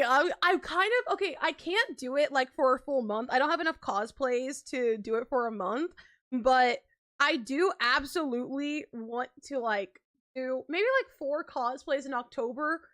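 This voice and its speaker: High-voiced chatty young woman streamer. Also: lively intonation, moderate pace, fairly bright tone. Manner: chatty, rambling, laughs often, heavy filler words. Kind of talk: casual live-stream chat.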